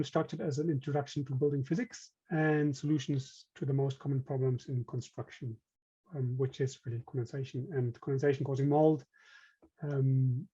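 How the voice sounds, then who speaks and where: A man's voice: mid-range voice, dark tone, husky, muffled recording. German-accented man presenting, hesitant. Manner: hesitant, many ums and restarts. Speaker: company director presenting. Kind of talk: webinar presentation.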